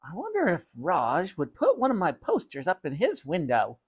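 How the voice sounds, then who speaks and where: A man's voice: sits high, wildly swooping pitch, normal speed, breathy edge, voice pushed up, heavily acted. A man voicing a pushy, self-important mother in a children's storybook, insistent and pompous.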